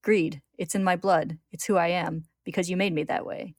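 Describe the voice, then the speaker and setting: A woman doing a brash, loudly taunting male villain. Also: lowish voice, fairly even intonation, moderate pace, dry recording, studio quality. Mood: defiant, sneering. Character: cocky, defiant male homunculus, sneering, reckless rebel son.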